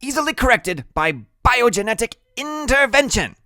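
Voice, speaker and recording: A man's voice: extremely high pitch, big pitch swings, moderate pace, sharp tone, no room sound, studio quality, voice pushed up, heavily acted. A man voicing a small, brainy, bossy boy in an audiobook, speaking in grand, precise, dramatic phrases. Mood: bossy, dismissive.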